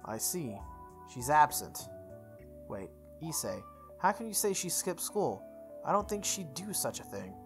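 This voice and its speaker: An earnest schoolboy from a visual novel, acted out by a woman, speaks in a low register. He sounds puzzled and questions or protests what he hears. Faint music runs behind him. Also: very animated, unhurried.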